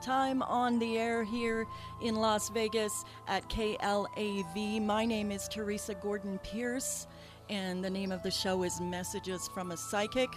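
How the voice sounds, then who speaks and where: A woman's voice: medium pitch, fairly even intonation, unhurried, music in the background. A woman with a Canadian accent hosting a radio talk show as a psychic medium, talking casually with lots of fillers and restarts into a studio mic. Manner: chatty, many fillers and restarts.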